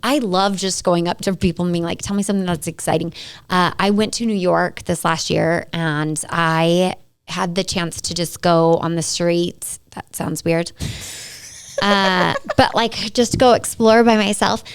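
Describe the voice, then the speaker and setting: A woman in her thirties, a guest on a podcast, chatting in a bubbly, talkative way with quick laughs. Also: on the low side, steady tone, clean tone. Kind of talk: casual interview chat.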